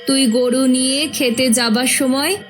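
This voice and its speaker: A woman's voice: high voice, steady tone, measured pace, faint music behind. A woman voicing a caring, worried village mother in a horror cartoon, fussing over her children.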